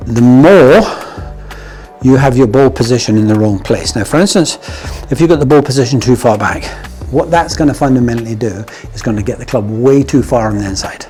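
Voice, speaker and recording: Chatty Scottish golf coach, blunt and jokey. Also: male voice, mid-range voice, a little fast, dark tone, faint music behind. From Scotland. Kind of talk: casual instructional talk.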